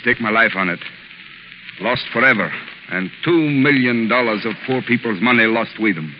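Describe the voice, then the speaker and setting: An actor playing a gruff, fatalistic sea captain in 1930s radio drama style. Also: male voice, mid-range voice, moderate pace, natural voice. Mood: grim. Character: gruff, fatalistic old-time fishing boat sea captain. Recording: muffled, noisy 1930s radio recording.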